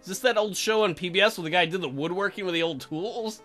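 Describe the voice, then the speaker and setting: A man's voice: sits high, fast talker, a bit bright, soft background music. A man hosting a let's play, commentating with crude, profane jokes and reading dialogue aloud. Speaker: gaming video host.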